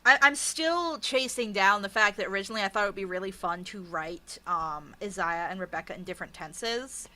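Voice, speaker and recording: A woman author being interviewed, chatting casually in an animated, self-deprecating, sweary way. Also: medium pitch, brisk, hardly any pauses.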